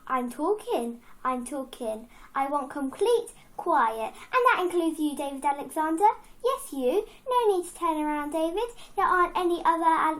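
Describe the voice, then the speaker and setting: A woman's voice: sits high, a bit bright. A young girl reciting a poem as a strict, exasperated schoolteacher who scolds her class with sarcastic rhetorical questions. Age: child. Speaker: poem reader.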